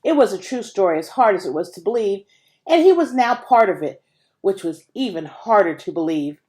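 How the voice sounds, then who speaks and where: A woman narrates a storybook in an expressive, dramatic way. Her pitch moves freely and animatedly around a middle register, shaping each phrase as she reads. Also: normal speed. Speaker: audiobook reader.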